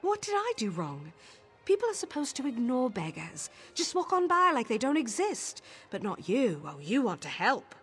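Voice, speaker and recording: A jumpy, defensive beggar. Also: female voice, on the high side, huge pitch swings, moderate pace, a bit bright, faint music behind. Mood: bitter. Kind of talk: video game character dialogue.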